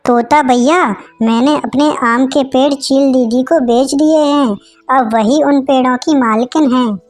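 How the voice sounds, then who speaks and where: A woman's voice: high voice, a little slow, darkish tone, faint music behind. A woman voicing a clever, firm, protective female bird in a cartoon moral story, polite but resolute.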